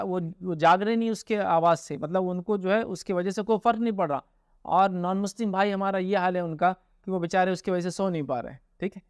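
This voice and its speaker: A man's voice: high-pitched, clean tone, no room sound, studio quality. A man with an Indian accent answering religious questions informally as a scholar, explaining and often checking that listeners follow.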